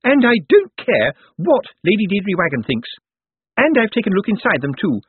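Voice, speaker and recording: A man's voice: high-pitched, wildly swooping pitch, moderate pace, slightly rough, no room sound, narrow, tinny audio, voice pushed up, exaggerated voice. A man voicing a prim, defiant clerical woman who bridles with indignation, in an audiobook. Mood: indignant.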